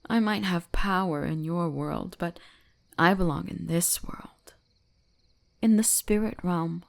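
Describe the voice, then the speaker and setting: Woman voicing a slow, seductive kitsune shrine maiden. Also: on the low side, creaky, no echo. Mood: gentle, possessive. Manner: slow, intimate, teasing, often dropping into whispers. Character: seductive, teasing kitsune shrine maiden, gently dominant, soul-eating fox spirit. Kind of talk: ASMR roleplay.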